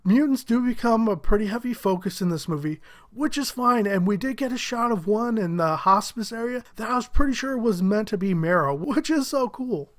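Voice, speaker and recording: A higher-pitched man talks through a casual movie review with plain enthusiasm. His voice sounds a little stuffy and muffled from a head cold, and he chuckles here and there. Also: lively intonation, moderate pace, hardly any pauses. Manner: upbeat, enthusiastic, chuckles often.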